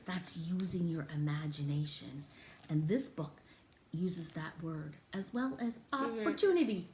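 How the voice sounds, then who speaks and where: A woman reads a picture book to young children in a highly animated, expressive teaching style. She stretches out key words and invites the listener to respond. Her mid-pitched voice rings in an echoey room with faint noise behind it. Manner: exaggerated, expressive teaching delivery with drawn-out words and gasps. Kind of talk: interactive read-aloud for young children.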